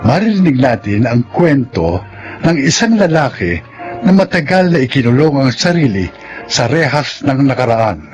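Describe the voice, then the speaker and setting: A man's voice: mid-range voice, big pitch swings, moderate pace, darkish tone, highs cut off, faint music behind. A man with a Filipino accent delivering scripted, dramatic narration as a radio drama announcer.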